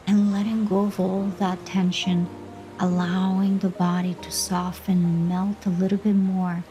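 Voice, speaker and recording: A woman leading a guided meditation in a gentle, unhurried manner, pausing between short soothing phrases of instruction. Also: mid-range voice. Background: background music.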